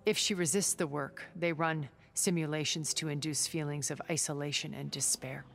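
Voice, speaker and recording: An actress playing a cool, skeptical woman strategist in a video game, speaking formally with dry, measured condescension. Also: low voice, fairly even intonation, creaky, fairly bright tone, long reverb tail, a little hiss or hum. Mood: clinical, firm. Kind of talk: video game cutscene acting.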